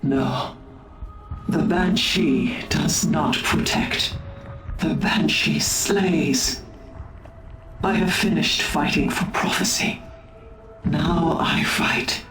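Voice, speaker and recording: An actor playing a bitter, dying young alien warrior bent on vengeance in a game, solemn and dramatic. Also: male voice, a little high. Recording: echoey, music behind.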